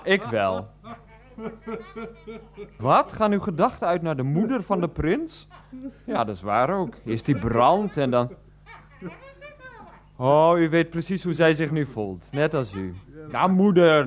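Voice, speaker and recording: An actor playing a pedantic, wordy middle-aged teacher in a radio play. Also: male voice, fairly high pitch, very animated, measured pace, pauses often. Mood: indignant, argumentative. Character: pedantic, exasperated thirty-nine-year-old unemployed teacher, henpecked son.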